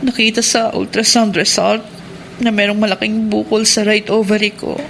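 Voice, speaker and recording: A woman's voice: mid-range voice, little pitch movement, normal speed, creaky, fairly bright tone, slight background noise. A woman acting a resentful, ailing and anxious wife in a Filipino radio drama. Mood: worried.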